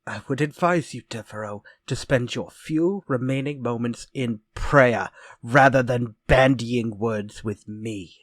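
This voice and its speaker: An actor playing a sinister, vengeful ex-convict murderer in retro radio drama style, speaking in a slow, theatrical, menacing way. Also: male voice, medium pitch, dry recording, clean studio sound, close to an everyday voice. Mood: grim, theatrical.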